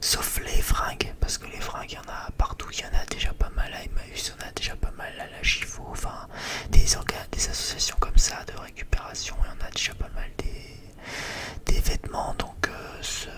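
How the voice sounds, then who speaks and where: An ASMR creator chatting in a rambling, hesitant way, often repeating phrases. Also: moderate pace. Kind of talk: whispered ASMR chit-chat.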